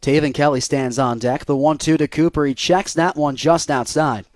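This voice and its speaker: A man's voice: fairly high pitch, moderate pace, darkish tone, no echo, clean studio sound. A young American man doing live radio play-by-play of a baseball game as the announcer.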